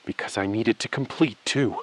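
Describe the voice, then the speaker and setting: A cool, calculating bank insider, played by a man for an audio thriller. He speaks in precise, measured phrases with a careful evasiveness. The sound is dry and close. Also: lowish voice, no echo, lightly acted. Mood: coolly knowing. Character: calm, calculating male bank insider, coolly complicit. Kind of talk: scripted audio thriller acting.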